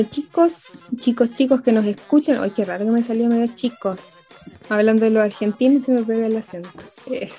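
A woman hosting a casual radio chat, with a mellow, dark, fairly high voice. She talks unhurriedly and thinks out loud, restarting sentences and filling pauses. Faint music sits under her voice. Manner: hesitant, restarts often, thinks aloud. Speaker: radio show host.

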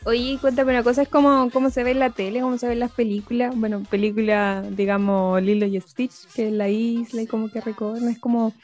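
A woman with a Chilean accent hosting an interview, chatty and casual with quick follow-up questions. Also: on the high side, flat delivery, darkish tone, soft background music. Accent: Chilean Spanish. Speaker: host.

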